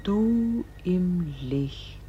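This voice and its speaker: An actress playing a solemn, gentle celestial voice that guides a soul, speaking in a measured, exalted, incantatory way in a radio play. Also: female voice, lowish voice, lively intonation, lo-fi sound, faint music behind. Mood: gentle, grave. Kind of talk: solemn classic German radio play acting.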